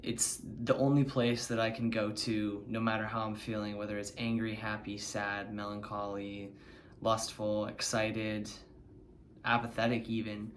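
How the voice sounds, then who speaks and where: Earnest low-voiced young man. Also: level tone, measured pace, slight background noise. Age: 20s. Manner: earnest and heartfelt. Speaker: band member. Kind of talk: documentary interview.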